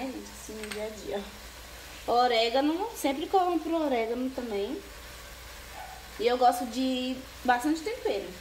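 A young Brazilian woman chatting casually to viewers as she cooks in a small home kitchen, often checking in with a "né, gente?". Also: high voice. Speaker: cooking vlogger. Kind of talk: casual cooking chat to camera. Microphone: camera on the counter, partly hand-held over the stove. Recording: echoey tiled kitchen, faint background noise.

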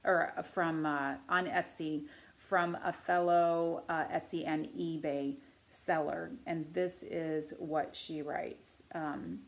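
A woman in her forties with a cold, talking to camera from her living room couch in an earnest, measured way with frequent filler pauses. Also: low voice, fairly even intonation. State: deeper and huskier from a cold. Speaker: artist and channel host. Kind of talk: casual to-camera vlog.

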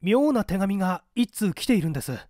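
A man as an earnest, high-voiced male secretary. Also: sing-song, fairly quick, darkish tone, no echo, studio quality. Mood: deferential. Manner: deferential, urgent, earnest. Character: earnest, anxious male secretary addressing his superior respectfully. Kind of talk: audiobook character acting.

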